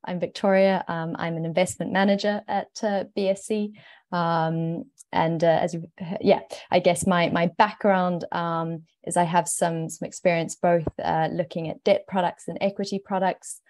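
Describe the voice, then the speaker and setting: A woman's voice: fairly low pitch, little pitch movement, normal speed, a little mellow, dry recording. A woman with a South African accent, an investment manager on a panel, speaking casually and hesitantly with repeated words. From Zimbabwe, raised in South Africa.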